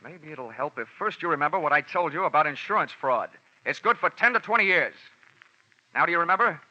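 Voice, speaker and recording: Snappy wisecracking american private eye, slightly high punchy voice, old radio show. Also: male voice, lively intonation, fairly quick, pushed and bright, dry recording, full character voice. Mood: hard, mocking. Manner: wry, snappy. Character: wisecracking, tough male insurance investigator. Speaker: lead character and narrator. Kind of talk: 1950s radio detective drama, hardboiled narration. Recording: dull vintage radio broadcast recording.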